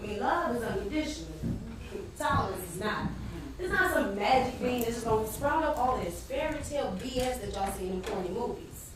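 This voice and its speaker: An actress in a stage play playing a blunt, skeptical, no-nonsense friend who speaks in an emphatic, sassy, preachy way. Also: female voice, fairly high pitch, lively intonation, brisk, a crowd in the background, close to an everyday voice. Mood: emphatic, opinionated. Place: large theatre stage, recorded from far off. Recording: distant, very echoey, noisy stage recording.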